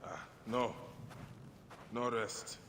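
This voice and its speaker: Grim warrior over noisy ambience. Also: male voice, mid-range voice, extremely slow, a bit bright. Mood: grim, determined. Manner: terse, brooding, resolute. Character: grim, vengeful male warrior returning to his ancient Egyptian village. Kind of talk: video game drama acting.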